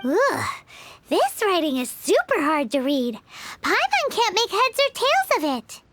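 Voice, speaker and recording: Tiny bouncy game fairy, very high and lively, blunt and teasing. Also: female voice, measured pace, no room sound, full character voice. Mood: frustrated. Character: tiny floating female fairy companion, cheeky, blunt. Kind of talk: video game voice acting.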